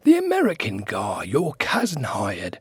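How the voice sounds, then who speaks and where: A man as a very husky, dithering old butler. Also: fairly low pitch, huge pitch swings, a little slow, dry recording, full character voice. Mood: gossiping. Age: older. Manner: grumbling, boastful, dithering. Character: mad old grumbling male butler of a witch. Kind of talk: comic radio sitcom acting.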